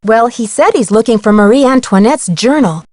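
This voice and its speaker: A woman's voice: fairly high pitch, lively intonation, moderate pace, darkish tone, no echo. A woman playing a plucky, level-headed young amateur detective in scripted video game dialogue. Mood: matter-of-fact. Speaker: game voice actor.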